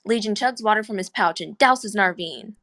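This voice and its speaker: A woman serves as narrator, reading out screenplay stage directions. Her voice sits at a medium pitch as she delivers the scripted action. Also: lively intonation, moderate pace, a bit bright.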